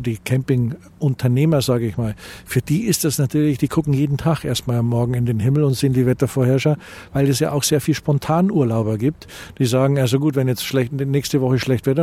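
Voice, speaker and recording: A man's voice: mid-range voice, a little fast, dark tone, breathy edge. A middle-aged man from Bavaria with a Bavarian accent, answering interview questions as a tourism association president, hesitant with many fillers and restarts.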